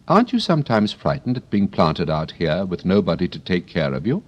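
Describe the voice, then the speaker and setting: A polite, curious young girl from a storybook, as a man puts her on. She asks her questions earnestly, in a gently raised and lively voice. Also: sits low, brisk, muffled recording, exaggerated voice. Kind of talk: storybook reading.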